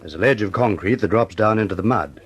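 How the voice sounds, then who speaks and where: Deep-voiced, matter-of-fact engineer, acted by a man. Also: fast, close to an everyday voice. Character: deferential, practical male engineer reporting to his superior. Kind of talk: radio drama acting. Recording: faintly noisy vintage radio drama.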